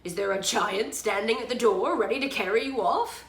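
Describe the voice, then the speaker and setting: Woman as a storybook king, fatherly, firm, measured, protective, dry close sound. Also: on the high side, reverberant, distant mic, natural voice. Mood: concerned. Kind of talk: storybook character read aloud.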